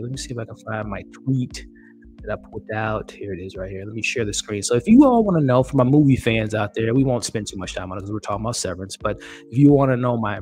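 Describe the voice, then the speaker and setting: A man in his thirties hosting a casual live stream, chatty and upbeat, laughing often and thanking viewers, close to a desk mic in a small room. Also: on the low side. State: losing his voice. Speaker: livestream host. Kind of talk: casual live-stream chat reading comments. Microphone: studio mic on desk, close.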